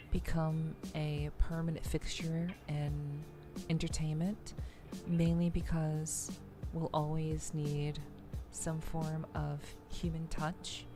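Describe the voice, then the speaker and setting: Low, creaky-voiced woman streamer. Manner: halting, measured, repeats words as she thinks. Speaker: VTuber livestream host. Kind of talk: casual livestream chat, answering viewers.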